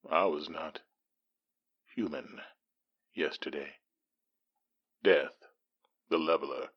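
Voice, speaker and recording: An actor playing a haunted, grieving male survivor of a disaster, speaking in a halting, theatrical, poetic way. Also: extremely low pitch, slightly rough, muffled recording, full character voice. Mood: grieving, solemn. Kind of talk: dramatic monologue in audio drama.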